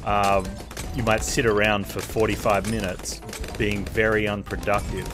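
A man's voice: lowish voice, little pitch movement, measured pace. A young Australian man streaming, casually explaining things to chat in a rambling way with lots of fillers. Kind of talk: casual gameplay commentary, answering chat. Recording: loud game music under voice. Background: loud game music and gunfire effects.

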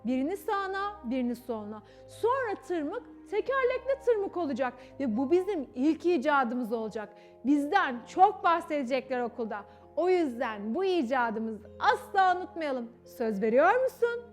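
Storybook boy inventor, played by a woman: earnest, determined, high-pitched, theatrical, over music. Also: animated, normal speed, few pauses. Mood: eager. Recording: music behind the voice.

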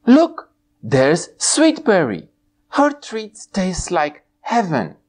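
A man in his forties from Romania reading a children's storybook aloud as an expressive host, drawing out his words. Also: sits high, very slow. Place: small room indoors.

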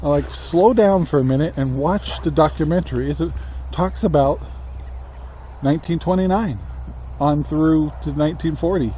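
An American man in his sixties talking casually to camera outdoors, halting, reflective and plain-spoken. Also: fairly high pitch, mellow. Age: 60s. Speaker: country living vlogger. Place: outdoors on a rural yard beside a metal barn. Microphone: camera at arm's length. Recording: faint outdoor ambient noise.

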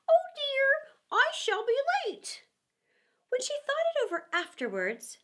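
A middle-aged woman reading a children's story, theatrical and deliberate with dramatic pauses. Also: high voice, a bit bright. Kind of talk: expressive storybook narration.

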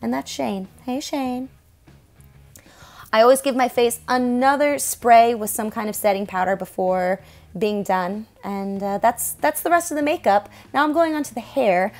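A woman's voice: on the high side, moderate pace, darkish tone, soft background music. A young woman, a stage performer, talking casually over her makeup tutorial. She is chatty and self-deprecating and laughs often.

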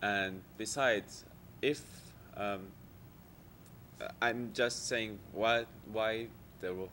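Deep-voiced man asking hesitantly. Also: steady tone, extremely slow, a bit bright, slight background noise. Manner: hesitant, many fillers. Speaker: audience member asking a question. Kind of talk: asking a question.

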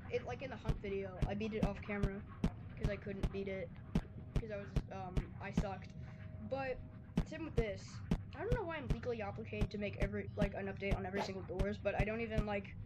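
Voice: mid-range voice, steady tone, brisk, heavy background noise. A child making casual, off-the-cuff commentary as a YouTuber reacting to game videos.

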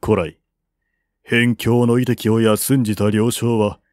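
A man reading the part of a cautious army general who gives his lord grave, formal, deliberate counsel in an audiobook. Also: fairly low pitch, flat delivery, darkish tone, dry recording, clean studio sound. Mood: grave, reasoning.

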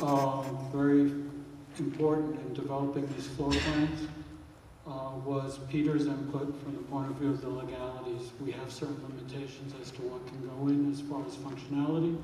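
A man presenting floor plans at a town hall meeting in a large auditorium, explaining in a level, even tone. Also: medium pitch, slight background noise. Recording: echoey hall, heard from far off.

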